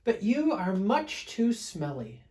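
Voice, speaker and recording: A man's voice: high voice, big pitch swings, slow pace, warm tone, voice pushed up, heavily acted. A man voicing a big fluffy female bear from a storybook, bossy but friendly, speaking insistently and emphatically. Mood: helpful, chiding. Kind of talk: storybook read-aloud character voice.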